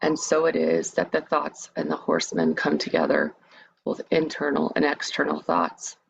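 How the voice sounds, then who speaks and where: A woman leading a guided meditation in a soothing, measured, invitational manner. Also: sits low, big pitch swings, gravelly. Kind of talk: guided meditation, part improvised, part read lecture. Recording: dull, lo-fi sound with background noise.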